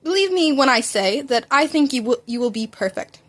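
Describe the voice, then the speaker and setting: A kindly grown man who mentors young heroes, acted by a woman reading fan fiction. The voice is high, yet formal. He speaks politely and with measured encouragement. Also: lively intonation, fairly bright tone. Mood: encouraging. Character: kindly, formal adult male hero mentor. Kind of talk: fan fiction audiobook character voice.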